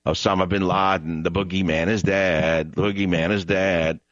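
Combative low-voiced radio talk host. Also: male voice, little pitch movement, normal speed, fairly bright tone, dry recording. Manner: combative, emphatic, repeats lines for effect. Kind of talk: opinionated call-in radio talk. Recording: dull radio broadcast sound.

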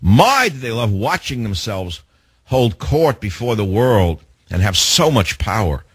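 A man hosting a talk radio show, delivering a sarcastic, indignant monologue with theatrical swings in pitch. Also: big pitch swings, unhurried, husky, dry recording, studio quality.

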